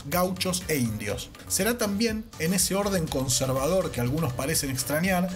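A man with an Argentine accent narrating a scripted educational podcast as its host. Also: a little high. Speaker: podcast host, social sciences teacher.

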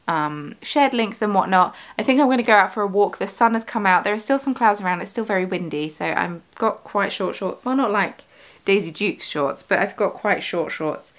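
A woman's voice: on the low side, a bit bright. A young woman talks casually to her camera about her day. She rambles, correcting herself and filling gaps with ums. She is recorded at close range in a living room at home. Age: young adult. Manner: chatty, rambling, many fillers and self-corrections. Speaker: yarn shop owner and vlogger. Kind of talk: casual studio vlog. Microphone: camera on desk at close range.

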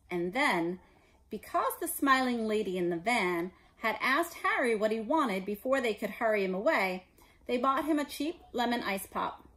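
A woman in her forties reading a children's story aloud as an expressive narrator. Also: mid-range voice, low-quality recording. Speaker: storybook reader. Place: living room indoors.

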